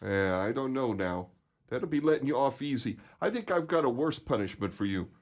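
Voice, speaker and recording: An actor playing an easygoing police officer in an old-time radio comedy, calm and wry. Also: male voice, mid-range voice, fairly quick, close to an everyday voice.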